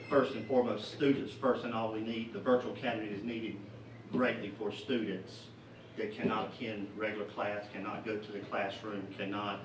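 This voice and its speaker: A middle-aged man, a parent, giving public comment at a school board meeting, earnest and halting with many fillers. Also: lowish voice. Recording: echoey meeting room, distant mic, noisy.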